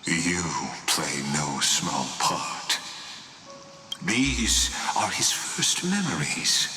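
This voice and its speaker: An enigmatic storyteller for a fantasy game, low and theatrical. He speaks with suave, very deliberate drama. His voice rings through a long, echoing hall with music behind it. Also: huge pitch swings, bright, forceful tone, exaggerated voice. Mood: enigmatic, inviting. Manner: slow, suave, dramatic, leaning into whispers. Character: mysterious, theatrical, deep-voiced male storyteller guide.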